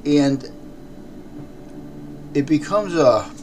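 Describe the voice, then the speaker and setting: Raspy American man, noisy podcast recording. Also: fairly high pitch, animated. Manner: halting, throat-clearing, rambling. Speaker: podcast host. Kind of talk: unscripted podcast talk. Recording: clearly noisy background.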